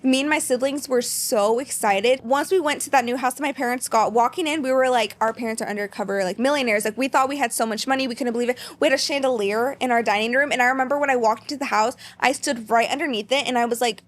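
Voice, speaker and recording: A woman's voice: a little high, quick pace, few pauses. A young woman from Texas, a podcast guest, telling candid stories about growing up in a casual, chatty way. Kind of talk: casual storytelling.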